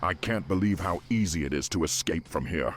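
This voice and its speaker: A man's voice: deep voice, lively intonation, brisk, slight background noise. A man playing an earnest, determined young orc escaped from slavery, speaking formally in a game cutscene. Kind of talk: dramatic game cutscene acting. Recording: music under the voice.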